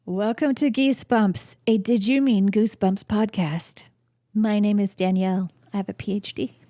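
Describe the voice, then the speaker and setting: A woman hosting a comedy podcast, opening the show and throwing out quick sarcastic comebacks. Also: mid-range voice, fairly even intonation, dark tone, dry recording, studio quality. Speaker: podcast host. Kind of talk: casual comedic chat.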